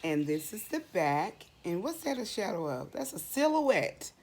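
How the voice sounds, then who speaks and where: Middle-aged woman, children's storytime reader, slow delivery, animated intonation, questioning teacherly manner, stretched and repeated words. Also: fairly low pitch, a little hiss or hum. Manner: expressive, asks and answers questions to young listeners; draws out and repeats words for emphasis. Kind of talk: read-aloud storytime for young children.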